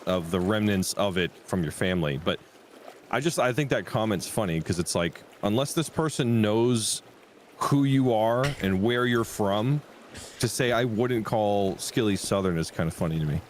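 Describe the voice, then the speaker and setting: A man's voice: on the low side, lively intonation, moderate pace, a little hiss or hum. A man chatting casually with a friend during a game stream, laid-back and swearing offhandedly. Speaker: co-op gaming guest. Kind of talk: casual chat while gaming.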